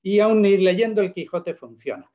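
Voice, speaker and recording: Male interview guest, persuasive and emphatic, a touch high-pitched, on a dull lo-fi recording. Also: lively intonation, normal speed. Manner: emphatic, persuasive explaining with rhetorical questions. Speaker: guest presenting a product. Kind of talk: casual interview.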